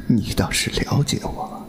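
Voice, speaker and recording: A man playing a wounded, coldly amused martial-arts master in a Chinese radio drama, breathy and given to bitter laughter. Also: echoey room, noisy background. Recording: loud music underneath.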